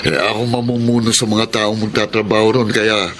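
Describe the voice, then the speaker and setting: A man's voice: lowish voice, little pitch movement, moderate pace, noisy background, lightly acted. An actor playing a hardworking, kindly middle-aged father and veteran maintenance man in a Filipino drama, with a folksy manner. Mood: uneasy, insistent.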